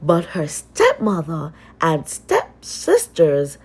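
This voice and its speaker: Very slow, expressive woman storyteller. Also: low-pitched, breathy edge. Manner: expressive, dramatic pauses. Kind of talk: scripted children's story narration.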